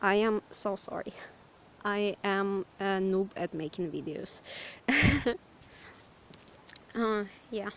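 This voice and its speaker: Hoarse, apologetic Ukrainian young woman. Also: medium pitch, slow pace, long pauses, slight background noise. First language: Russian. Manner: hesitant, apologetic, lots of fillers. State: hoarse, sick. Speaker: vlogger. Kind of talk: casual rambling chat. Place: outdoors in a forest.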